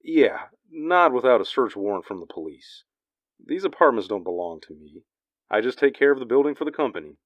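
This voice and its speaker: Actor as a grumbling New building caretaker, dismissive, lively pitch, dry, 1940s radio play. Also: male voice, a little fast, no room sound, muffled recording, natural voice. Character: grumbling, evasive building superintendent.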